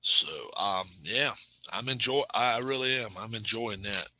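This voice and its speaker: A middle-aged man hosting a casual live stream, chatting with viewers in a rambling, self-correcting way and sometimes dropping to a low murmur. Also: measured pace, sharp tone, slight background noise, poor audio. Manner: drops to a low murmur at times. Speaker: channel host.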